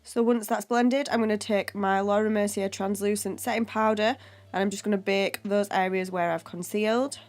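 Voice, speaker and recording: A woman's voice: medium pitch, steady tone, moderate pace, a little mellow, faint music behind. A young woman with a cold, chesty voice, casually narrating a makeup tutorial voiceover. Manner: casual, chatty, step-by-step explaining. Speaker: beauty vlogger. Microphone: home voiceover mic.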